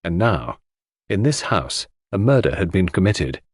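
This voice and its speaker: A man's voice: low voice, very animated, unhurried, slightly rough, no room sound, studio quality. A man reading scripted first-person mystery narration for an audiobook.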